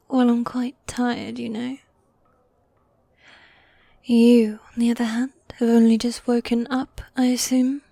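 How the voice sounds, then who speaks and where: A woman playing a teasing, needy yet dominant goth demon girlfriend in a fantasy ASMR roleplay, soft and breathy, often whispering, close to the mic. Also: on the high side, flat delivery, slow pace, pauses often, no echo, exaggerated voice. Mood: playfully chiding. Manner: teasing, coy, affectionate, with sighs. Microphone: close, dry microphone.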